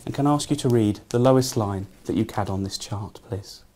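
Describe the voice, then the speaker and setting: A man's voice: fairly low pitch, fairly quick, mellow, a little hiss or hum. A young man with a British accent, a doctor giving courteous exam instructions in a small consulting room. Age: young adult. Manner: courteous, frequently thanking. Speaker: doctor, neurology registrar. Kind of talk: polite clinical exam instructions. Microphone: camera across the room.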